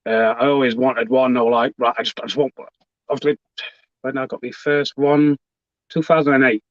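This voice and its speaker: A man, a wrestler being interviewed, talking in a halting, plainspoken way over a video call. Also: medium pitch, level tone, dry recording. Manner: halting, plainspoken, many fillers. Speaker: professional wrestler guest. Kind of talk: casual interview chat. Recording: dull lo-fi call audio.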